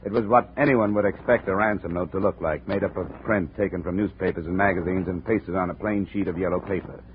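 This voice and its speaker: An American actor playing a tough, unflappable insurance investigator in a 1950s radio drama, clipped and wry. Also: male voice, low voice, fairly even intonation, fast, close to an everyday voice. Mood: dry narration. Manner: clipped, hard-boiled, wry. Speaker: lead actor and narrator. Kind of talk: 1950s radio detective acting. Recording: noisy, tinny old radio recording.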